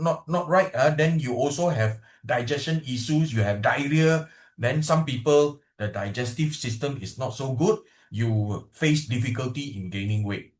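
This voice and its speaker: Malaysian man, animated and roomy. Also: medium pitch. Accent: Malaysian English. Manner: questioning the audience, repeated "okay" and "right". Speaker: product presenter. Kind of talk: sales presentation on a video call. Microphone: video call.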